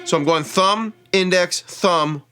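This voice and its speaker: A man's voice: sits high, slow pace. A man giving a casual lap steel guitar lesson. He chats as he plays and counts out the scale degrees of each lick. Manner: chatty, explains while playing, counts out scale degrees. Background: lap steel guitar playing.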